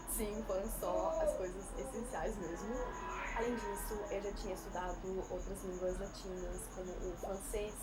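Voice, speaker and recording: A young Swiss woman whose first language is not Portuguese, telling her own story in a candid, chatty and animated way. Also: mid-range voice, normal speed, loud music behind the voice. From Switzerland. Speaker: video storyteller. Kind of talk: casual personal storytelling. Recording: distant mic with loud background noise.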